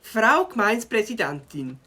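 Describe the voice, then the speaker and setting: A woman's voice: fairly high pitch, very animated, moderate pace, bright, forceful tone, husky, full character voice. A woman voicing a young male beaver, caring and determined, in a children's audio drama, earnest and Swiss-accented.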